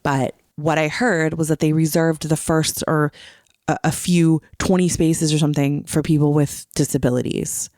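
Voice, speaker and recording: A woman's voice: low voice, level tone, moderate pace, a little mellow, no echo, clean studio sound. A woman co-hosting a podcast, recounting things in casual, conversational storytelling. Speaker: podcast co-host. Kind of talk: casual chat, recounting a trip.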